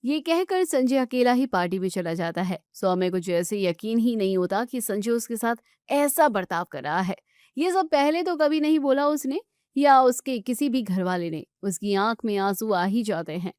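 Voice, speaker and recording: High-voiced woman narrating a story. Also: expressive, moderate pace, darkish tone, smooth, no room sound, clean studio sound.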